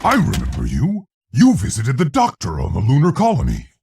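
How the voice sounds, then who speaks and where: An actor playing a brainy male gorilla scientist in a video game, gravelly and articulate, with deliberate phrasing. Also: medium pitch, huge pitch swings, darkish tone, faint music behind, heavily acted. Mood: recognizing.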